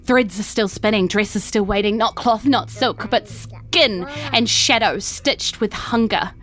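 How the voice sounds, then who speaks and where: A woman game master plays a frightened female model in a tabletop game. She is hushed, ominous and dramatic, with a bright edge to the voice and faint music underneath. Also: medium pitch, a little slow, close to an everyday voice. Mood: ominous dread. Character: terrified young woman model sharing forbidden, sinister secrets.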